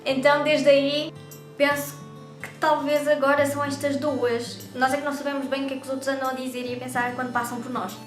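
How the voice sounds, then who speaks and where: Young woman, European Portuguese accent, high voice, talkative vlogger, echoey room. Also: flat delivery, fairly quick, faint music behind. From Brazil. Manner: chatty and talkative. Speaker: YouTube vlogger. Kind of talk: casual talk to camera.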